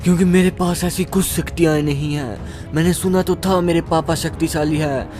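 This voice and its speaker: A man's voice: on the high side, steady tone, normal speed, a little mellow, soft background music, natural voice. A man playing an eighteen-year-old son, a naive but determined hero, speaking emphatically in a melodramatic story. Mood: troubled. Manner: dramatic, emphatic.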